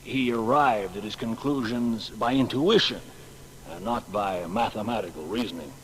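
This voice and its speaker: A man's voice: lowish voice, normal speed, a bit bright, natural voice. A man playing a thoughtful, refined portrait painter recalling his subject in a reflective, considered manner. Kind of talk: dramatised audio biography acting. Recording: noisy, long echoing hall reverb.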